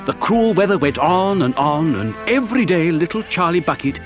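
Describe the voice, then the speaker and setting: A man's voice: a little high, very animated, normal speed, music in the background. A man narrating a children's story as dramatic scripted storytelling.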